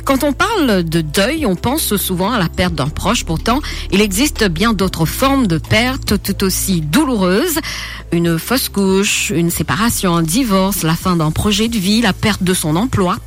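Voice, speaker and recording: A woman's voice: medium pitch, expressive, measured pace, soft background music. A woman hosting a radio health show, asking her guest questions one after another. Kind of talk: radio interview. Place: radio studio.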